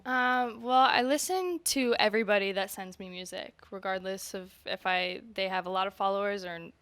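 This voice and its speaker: A woman's voice: medium pitch, normal speed, fairly bright tone. A woman musician talking casually in an interview, informal and conversational, often saying "like" and "kinda". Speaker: musician and singer being interviewed.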